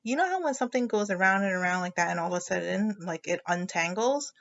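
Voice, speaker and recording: A woman's voice: mid-range voice, lively intonation, quick pace, creaky, fairly bright tone, lo-fi sound. A woman teacher leading a children's read-aloud lesson, explaining as she thinks aloud and prompting listeners with questions.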